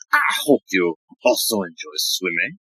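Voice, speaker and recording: An actor playing a vain, bumbling police inspector in a comedic audio play. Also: male voice, low-pitched, huge pitch swings, slow, sharp tone, husky, no echo, heavily acted. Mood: flustered, smitten.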